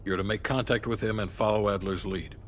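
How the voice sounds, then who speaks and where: A man acting as a hard-nosed, doubting intelligence official in a game cutscene. His voice sits on the low side, clipped and sarcastic, with faint ambience behind it. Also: fairly even intonation, brisk, a little hiss or hum, close to an everyday voice. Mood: stern, sardonic. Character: stern, skeptical, sardonic male intelligence handler. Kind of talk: cinematic game dialogue acting.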